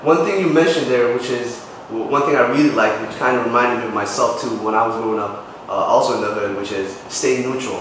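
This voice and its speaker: A man's voice: mid-range voice, a little fast, noisy background. Friendly male podcast host, echoey. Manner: friendly, probing questions. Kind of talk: casual interview questions. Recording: echoey room, voice far from mic.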